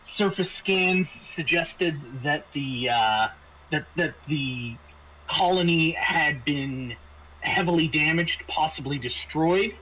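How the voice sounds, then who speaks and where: A man running a tabletop roleplaying game, casually briefing his players in a halting, explanatory way with frequent hesitations and restarts. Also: fairly high pitch, sharp tone, slight background noise, rough recording. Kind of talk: semi-improvised briefing to players, narrating a scenario.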